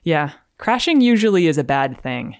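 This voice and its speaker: A woman guest reading research aloud and explaining it in a measured, articulate, matter-of-fact way. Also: low-pitched, very animated, a little mellow, no echo, clean studio sound. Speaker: guest reader of research studies.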